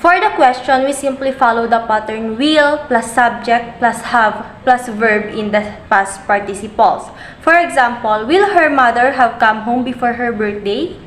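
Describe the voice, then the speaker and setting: A young woman with a fairly high voice explains verb tenses steadily, working through example sentences. She is recorded on a webcam in a room that echoes. Faint noise sits behind her. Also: unhurried, fairly bright tone. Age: young adult. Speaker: student presenter. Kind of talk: reading a grammar lesson. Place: indoors, virtual slide background.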